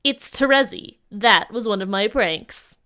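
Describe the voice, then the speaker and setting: A woman's voice: medium pitch, expressive, a little slow, natural voice. Woman voicing alien troll girl, smug, teasing, condescending, audio drama prankster, faint background noise with clicks. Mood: defensive, smug. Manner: mocking, condescending.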